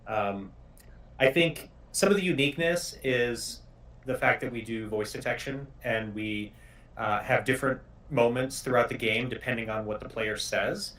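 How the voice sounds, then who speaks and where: A man's voice: medium pitch, normal speed, a bit bright. A male game developer talking casually in an interview. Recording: distant mic.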